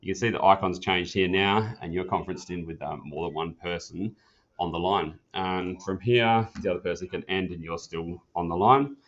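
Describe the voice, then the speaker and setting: A man's voice: low-pitched, normal speed, fairly bright tone. A man giving an informal product tutorial in a casual, plain-spoken, practical manner, like an instructor walking a learner through each step. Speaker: instructor demonstrating an office phone system. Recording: dull, muffled lo-fi sound.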